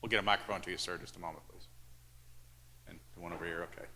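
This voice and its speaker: A man's voice: low-pitched, steady tone, very fast, bright, forceful tone. A man moderating a conference panel, polite and organized as he opens questions to the audience. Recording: echoey conference hall, faint noise.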